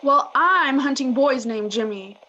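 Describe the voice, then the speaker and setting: A woman's voice: sits high, animated, measured pace, pushed and bright, a little hiss or hum, exaggerated voice. A woman playing a feisty, bossy young girl in an old-time radio sponsor skit. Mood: indignant.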